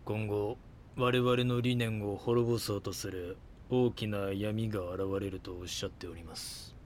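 An actor playing a messianic cult leader in an audio drama, speaking in solemn, pompous, preacher-like pronouncements. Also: male voice, lowish voice, steady tone, unhurried, darkish tone, slight background noise, lightly acted. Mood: solemn, prophetic.

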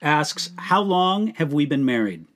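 An older man, a pastor hosting a talk show, steady and affirming as he reads out listener questions. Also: fairly high pitch, measured pace. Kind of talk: casual interview chat.

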